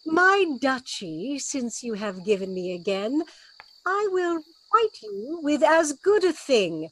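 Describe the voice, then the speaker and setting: Slow, stately woman playing a sorceress duchess. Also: sits high, big pitch swings, fairly bright tone, heavily acted, poor audio. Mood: solemn, gracious. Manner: stately, measured verse delivery. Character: Prospero, wronged duchess and magician, commanding mother. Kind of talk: Shakespearean radio play acting.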